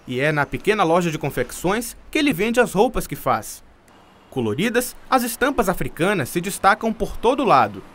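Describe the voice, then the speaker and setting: Brief: male TV reporter, high and animated voice, scripted narration. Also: normal speed. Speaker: TV news reporter.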